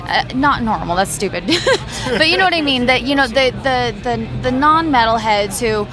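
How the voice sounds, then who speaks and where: A woman's voice: on the high side, lively intonation, moderate pace, over music, low-quality recording. A woman singer from a band answering interview questions in a loose, rambling way with many fillers.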